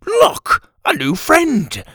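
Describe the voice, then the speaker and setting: A man's voice: high-pitched, huge pitch swings, slow pace, vocal fry, pushed and bright, no room sound, clean studio sound, voice pushed up, exaggerated voice. A man voicing a cheerful, naive little dad in a children's story, hearty and oblivious. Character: cheerful, naive tiny dad, overly friendly. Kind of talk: acted children's story narration.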